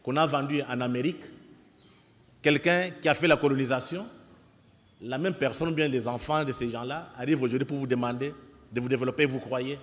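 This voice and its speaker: A man's voice: mid-range voice, fairly even intonation, brisk. A man with a Congolese accent gives an impassioned, didactic public lecture, exhorting his listeners and putting rhetorical questions to them. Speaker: speaker addressing an audience of young people and students. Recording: echoey hall with long reverb.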